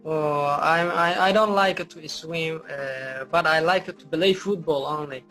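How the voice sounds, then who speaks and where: A man's voice: on the high side, pushed and bright, soft background music. Hesitant young man. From Somalia. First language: Somali. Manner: halting, hesitant English with many fillers. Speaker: university student, chat guest. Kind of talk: casual video chat.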